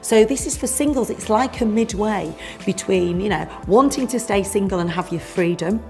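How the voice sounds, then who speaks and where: A woman's voice: mid-range voice, moderate pace, darkish tone, faint music behind. A woman speaking as a relationship coach, explaining informally and addressing the listener directly in an emphatic, conversational way. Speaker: relationship coach promoting a seminar. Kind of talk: informal explanatory promotional talk.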